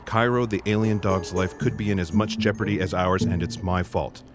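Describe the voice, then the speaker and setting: Man playing earnest, formal low-voiced sci-fi hero. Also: steady tone, normal speed, loud music behind the voice, lightly acted. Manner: formal, wordy, precise diction. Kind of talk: scripted audio drama acting.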